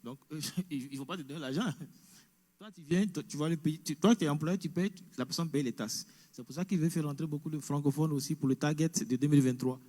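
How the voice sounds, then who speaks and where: A man presents an information session in a large, echoing hall. His voice sits a little high but sounds mellow. He explains each point step by step and drops English words into his French. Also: fast. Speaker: immigration presenter. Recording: echoey hall.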